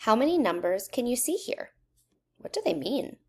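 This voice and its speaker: A woman reading a children's educational book aloud in a measured, instructional way, putting questions to young listeners. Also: on the high side, sing-song, warm tone. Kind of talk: children's read-aloud narration.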